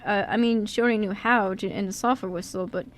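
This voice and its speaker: A woman's voice: mid-range voice, fairly even intonation, quick pace, close to an everyday voice. A woman acting a nervous, good-natured young man in an amateur radio play, stammering and hesitant as he puts himself down. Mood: bashful, amused. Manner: heavily stammering, hesitant, self-deprecating.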